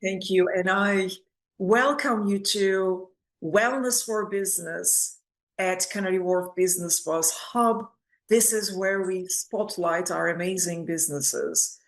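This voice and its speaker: A woman hosting a business spotlight interview, speaking in an effusive, complimentary way with deliberate phrasing. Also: mid-range voice, rough recording. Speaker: interview host.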